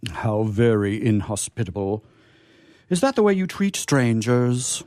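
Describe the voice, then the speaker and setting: A man playing a courteous, dignified guardian angel in a live radio comedy, speaking in a formal, measured way. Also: mid-range voice, sing-song, a little mellow, no echo. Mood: affronted.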